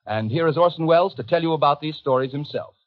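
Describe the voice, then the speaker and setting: Higher-voiced man, formal 1930s radio announcer. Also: fast. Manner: declamatory, formal. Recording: muffled old 1930s radio broadcast.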